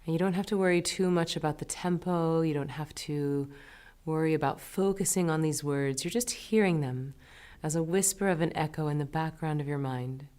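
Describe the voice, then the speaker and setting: A low-voiced woman leads a guided meditation. She gives her instructions gently and soothingly, taking her time with each phrase. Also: moderate pace.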